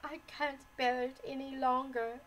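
A woman's voice: sits high, little pitch movement, slow pace, warm tone, slight background noise, rough recording. A woman voicing a heartbroken, despairing wife and mother in a storybook, with a trembling, pleading, sobbing delivery. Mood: anguished. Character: heartbroken, despairing, unhappily married young mother.

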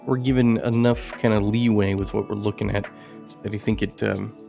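A man's voice: lowish voice, fairly even intonation, moderate pace, vocal fry, mellow, soft background music. A man giving casual gameplay commentary, musing and thinking aloud as he goes. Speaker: game streamer reviewing indie games.

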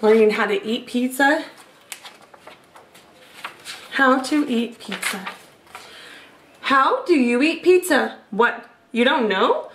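A woman in her thirties reading a picture book expressively to children, asking them questions in an animated manner. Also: a little high, slow pace, long pauses, a little hiss or hum. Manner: animated, addresses young listeners with questions. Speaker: children's read-aloud storyteller. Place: small room at home. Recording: roomy echo.

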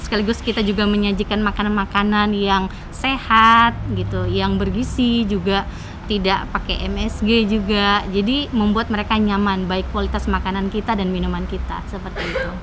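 A woman's voice: mid-range voice, little pitch movement, normal speed, background noise. A young woman explaining her cafe in an interview, hesitant with fillers, into a handheld mic. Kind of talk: interview answer. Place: indoor cafe. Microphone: handheld news mic.

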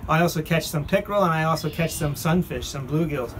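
A man's voice: a little high, fairly quick, a little hiss or hum, poor audio. A middle-aged man hosting a fishing video, explaining and narrating in a plain-spoken way. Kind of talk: explanatory vlog talk and narration.

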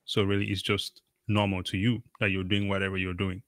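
A man's voice: deep voice, level tone, fairly quick, a bit bright, no echo, clean studio sound. A middle-aged man from Cameroon hosting an interview over a video call, talking casually and emphatically with many fillers. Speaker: podcast host.

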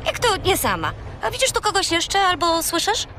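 A lively, affectionate young girl in a children's radio play. The voice is high and animated, with faint noise behind it. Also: normal speed, full character voice. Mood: teasing, affectionate. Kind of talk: children's audio drama acting.

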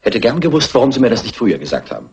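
An actor playing an officious, testy police inspector with a formal manner, in German film dubbing. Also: male voice, medium pitch, huge pitch swings, very fast, vocal fry, a little mellow, highs cut off. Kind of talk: dubbed classic crime film dialogue.

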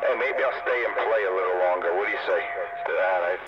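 A man's voice: low-pitched, fairly quick, highs cut off. Goading man through a very noisy CB radio. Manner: goading, taunting. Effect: CB radio transmission. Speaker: amateur CB radio operator. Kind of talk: casual trash talk over CB radio.

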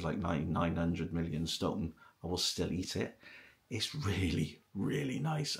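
A middle-aged British man speaks in a deep voice with plenty of vocal fry. He chats to camera like a home video blogger, rambling along and repeating words to make his point. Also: a little hiss or hum. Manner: rambling, repeats himself for emphasis. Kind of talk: casual chat to camera. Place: small kitchen at home, indoors.

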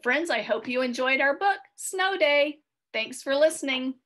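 A middle-aged woman reading a children's storybook aloud, expressive and clearly worded for young listeners. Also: high voice, a little slow. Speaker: read-aloud host for children. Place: small furnished room at home, indoors.

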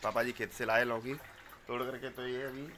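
A middle-aged man, narrating a casual village vlog on a handheld phone in an outdoor courtyard and often calling out loudly to a child. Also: mid-range voice, moderate pace, a little hiss or hum. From Uttar Pradesh, India. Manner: loud calling out to a child, then relaxed explaining. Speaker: village vlogger.

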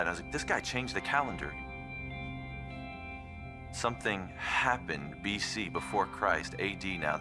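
A man telling his personal faith testimony in a halting, reflective way, often restarting his phrases. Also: low-pitched. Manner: halting, many restarts and fillers. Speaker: testimony speaker. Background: guitar music.

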